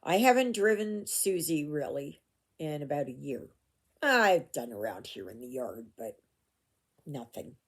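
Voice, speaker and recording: A woman's voice: low voice, very animated, a little slow. An older woman from Canada chatting casually while she stitches, rambling with asides and fillers, recorded at home on a phone. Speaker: cross-stitch vlogger. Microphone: phone camera.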